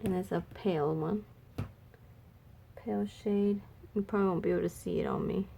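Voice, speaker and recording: A woman makeup vlogger chatting casually and thinking aloud, her voice a little raspy and stuffy from a cold. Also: medium pitch, steady tone, moderate pace, dark tone, a little hiss or hum. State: slightly raspy, congested from a cold. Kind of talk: casual makeup swatch review chat.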